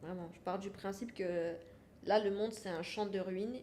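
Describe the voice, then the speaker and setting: A woman's voice: mid-range voice, steady tone, moderate pace, warm tone, slight background noise. A woman from France, a podcast guest, talking frankly in a casual interview and repeating her phrases for emphasis.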